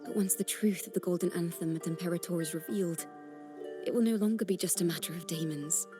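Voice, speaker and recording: A woman voicing a gentle, earnest young bard in a video game story, speaking in a measured, contemplative and poetic way. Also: lowish voice, lightly acted. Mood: serious, resolute. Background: background music.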